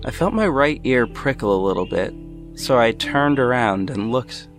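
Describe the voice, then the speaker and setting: A man playing a reflective, earnest city hero who narrates an audio drama in the first person, in a measured storytelling way. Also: mid-range voice, animated, over music, close to an everyday voice. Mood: attentive.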